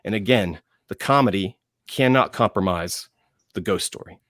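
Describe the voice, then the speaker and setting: A man speaking as a panelist in enthusiastic film talk, emphatic, with dramatic pauses and phrases repeated for effect. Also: fairly low pitch, fairly bright tone, no echo, clean studio sound.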